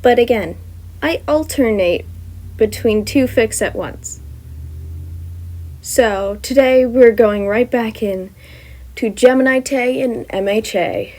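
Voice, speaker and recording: A woman narrating her own fan fiction with a slightly high voice. She chats upbeat with listeners between chapters, then settles into storytelling. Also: darkish tone. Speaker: fan fiction author and reader.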